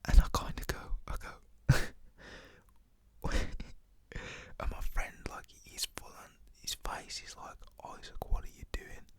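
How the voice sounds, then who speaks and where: A man's voice: normal speed, few pauses, fairly bright tone. A young British man whispers a casual, slangy, rambling story in an ASMR style.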